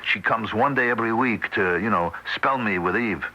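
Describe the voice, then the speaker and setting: An actor playing a plain-spoken, weary husband in a radio drama, blunt and conversational. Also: male voice, on the low side, moderate pace, sharp tone, dull audio. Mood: practical, patient. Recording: old radio recording, faint noise.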